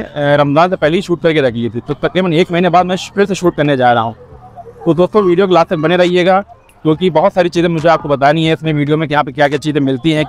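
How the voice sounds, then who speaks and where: A man in his thirties hosting a casual food vlog, talking chattily with fillers, recorded on a lapel mic. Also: on the high side. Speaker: food vlogger host. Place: busy street at night, then restaurant indoors. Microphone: clip-on lapel mic.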